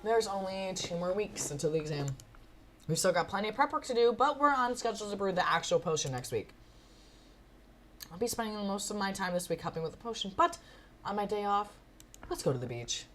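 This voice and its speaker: A woman's voice: mid-range voice, expressive, a little fast, a little hiss or hum. A woman streaming a visual novel, reading narration expressively and breaking off into playful, gushing, casual reactions.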